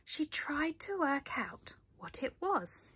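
A woman reading a children's story aloud in an animated way, with dramatic emphasis. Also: high-pitched, takes it slowly, whispered. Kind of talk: expressive picture-book read-aloud.

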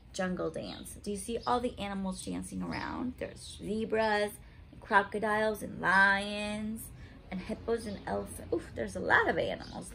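A woman's voice: a little high, fairly bright tone, a little hiss or hum. A woman in her thirties reading stories aloud to young children, adding chatty asides and simple questions for her little listeners. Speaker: story time reader for children. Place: home living room, indoors.

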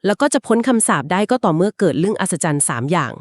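A woman in mid-range pitch tells an old legend in an easy conversational way, explaining each turn at length. She softens her lines with polite particles and small filler pauses. The sound is dry and close, with no room around it. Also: expressive, no echo. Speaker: podcast co-host. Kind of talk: conversational storytelling of a folk legend.

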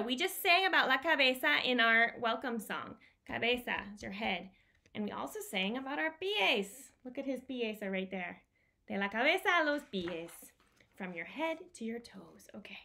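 A woman hosts a storytime for small children at home. Her voice sits fairly high and she speaks very slowly. She repeats simple phrases in an animated, teacherly way, recorded on a device mic in a small room. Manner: animated, simple repeated phrases, switches between Spanish and English. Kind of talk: singing and reading aloud to young children.

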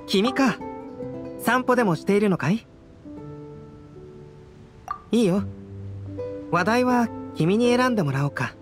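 An actor playing a gentle, curious young scholar in a video game, measured and thoughtful. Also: male voice, high voice, expressive. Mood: calm, pleased. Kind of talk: Japanese video game voice acting. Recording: studio voice over guitar game music.

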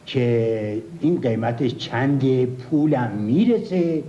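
A man's voice: medium pitch, animated, mellow, close to an everyday voice. An actor playing a timid, naive man trying to buy a car, speaking meekly and politely. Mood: pleading. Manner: meek, pleading, polite. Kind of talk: old comedy sketch acting. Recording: dull lo-fi old recording, echoey.

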